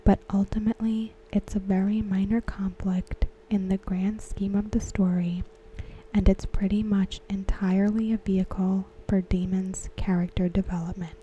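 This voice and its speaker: A woman whispering softly as an ASMR host, talking through a TV episode. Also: mid-range voice, flat delivery, normal speed, slight background noise, low-quality recording. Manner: whispered, unvoiced and close. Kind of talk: soft-spoken ASMR commentary.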